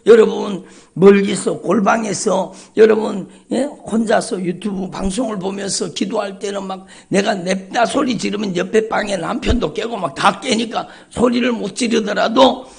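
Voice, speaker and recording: Fervent male pastor preaching, gravelly. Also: high-pitched, moderate pace, few pauses. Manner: emphatic, repetitive, calls out to congregation. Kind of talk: fervent Korean sermon at dawn service. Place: church sanctuary.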